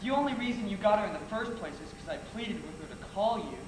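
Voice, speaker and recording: A man's voice: high voice, animated, fast talker. Casting: male actor as smug young rival who taunts, stage drama; very echoey hall, noisy capture. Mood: smug, taunting. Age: young adult. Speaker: stage actor in a one-act play. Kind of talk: amateur stage acting, projected dialogue. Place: theater stage. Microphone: distant audience camera.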